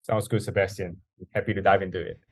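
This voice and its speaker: A man's voice: deep voice, flat delivery, fast talker, husky, dry recording. A young man from Singapore, telling his story as a podcast guest in an earnest way full of "you know" fillers. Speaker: guest, startup founder.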